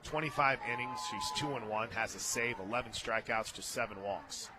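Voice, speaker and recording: An American man giving live radio play-by-play of a softball game, conversational, with clipped calls of each play. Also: lowish voice, moderate pace. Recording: very noisy outdoor ballpark booth.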